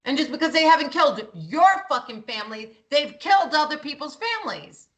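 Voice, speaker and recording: A woman's voice: high voice, sing-song, fairly quick. A woman co-hosting a talk show, speaking bluntly and passionately, swearing freely. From Greek heritage. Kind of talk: heated political talk.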